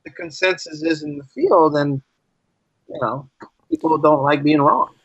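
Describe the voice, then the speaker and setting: A man's voice: on the high side, sing-song, measured pace, gravelly, a bit bright, breathy edge, no room sound. Male American interview guest, emphatic and conversational, slightly dull audio with very little room sound. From United States. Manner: emphatic, repeats phrases for stress, uses rhetorical questions. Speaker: podcast guest, teacher.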